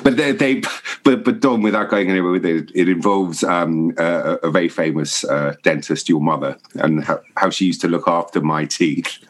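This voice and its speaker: A man with a very deep, slightly husky voice. He chats on a podcast panel, laughing and rambling as he tells stories. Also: normal speed, few pauses. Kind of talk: casual podcast chat.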